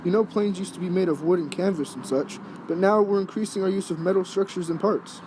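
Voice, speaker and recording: A folksy 1920s pilot acted by a man explains things in a radio interview. His voice is high, with faint noise in the amateur recording. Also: a little fast, a little mellow, close to an everyday voice, poor audio. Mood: informative. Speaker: pilot guest.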